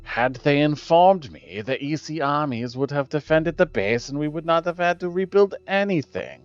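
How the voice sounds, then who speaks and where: A man's voice: fairly high pitch, expressive, moderate pace, smooth, no room sound, close to an everyday voice. A man playing an indignant male investigator, formal and insistent, accusing others in a dramatic political game scene. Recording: dull lo-fi audio with music behind.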